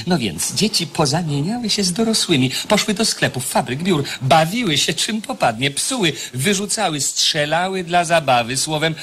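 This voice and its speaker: An actor playing an obsequious, scheming journalist spy in a radio play, fawning and self-justifying. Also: male voice, a little high, a bit bright, a little hiss or hum. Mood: gloating.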